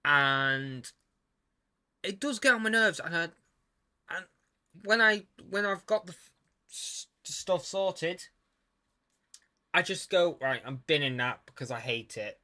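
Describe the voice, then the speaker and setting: Young male student filmmaker; bright, forward tone; halting, stuttery casual commentary. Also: a little high, lively intonation. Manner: stutters, restarts, swears casually. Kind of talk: casual commentary on his own film.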